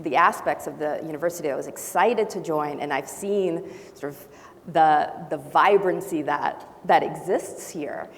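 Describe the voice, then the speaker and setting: A woman from Brazil and Honduras whose first language is not English, speaking as a panelist with thoughtful pauses and restarts. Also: sits low. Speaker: panelist, faculty member. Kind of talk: panel discussion. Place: large auditorium. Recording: long hall reverb, faint noise.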